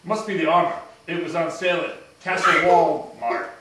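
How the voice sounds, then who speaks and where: A man acts out a bumbling puppet palace guard, disgruntled and comically conspiratorial. The voice is high and sits back from the mic in a very echoey room. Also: animated, normal speed, lightly acted. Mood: conspiratorial, grumbling. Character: disgruntled, bumbling male palace guard plotting against the king. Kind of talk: amateur puppet show acting for children. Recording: echoey room, distant mic, background noise.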